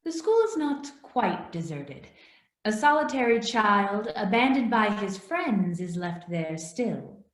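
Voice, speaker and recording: Radio play spirit, female actor: measured, knowing, melodic swings, probing softly, set in a reverberant space. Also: medium pitch, sing-song. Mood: gently probing. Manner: measured, solemn, gently questioning. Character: calm, probing ghostly spirit guide of Christmas past. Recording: roomy, echoing voice.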